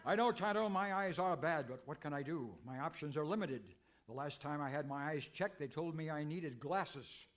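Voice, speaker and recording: Radio western lead, male actor: earnest, put-upon American masked hero, slightly raised pitch, comic timing. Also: moderate pace, fairly bright tone, close to an everyday voice. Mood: worried. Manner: earnest, long-suffering. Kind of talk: comic old-time radio western acting.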